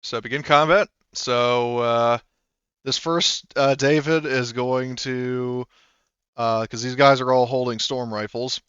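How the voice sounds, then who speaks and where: A man working as game master in a tabletop role-playing game, casually narrating and thinking aloud haltingly through the rules. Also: mid-range voice, fairly even intonation, fairly bright tone, smooth, no echo, highs cut off.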